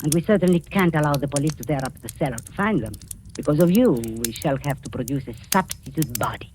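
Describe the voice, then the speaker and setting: Scheming aunt, low voice, swooping intonation. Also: female voice, lively intonation, darkish tone. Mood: cold, commanding. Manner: cloying endearments, deliberate halting menace. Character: scheming older aunt, a spy, falsely sweet, coldly menacing. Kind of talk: 1940s radio suspense acting. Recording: noisy old-time radio recording.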